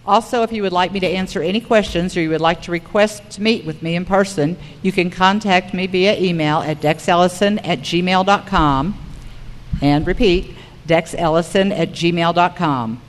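A woman's voice: lowish voice, moderate pace. A woman moderating a public forum, reading prepared material aloud to the room. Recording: reverberant hall recording.

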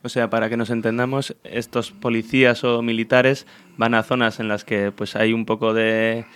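A man's voice: medium pitch, level tone, moderate pace, fairly bright tone, clean tone. A man with an accent from Spain, hosting an informal community radio interview. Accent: Spanish, from Spain.

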